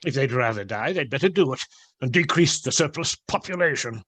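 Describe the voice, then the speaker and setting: A man's voice: medium pitch, animated, brisk, a bit bright, no room sound. An actor playing a curt, scornful, miserly old businessman in a radio play. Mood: cold, curt.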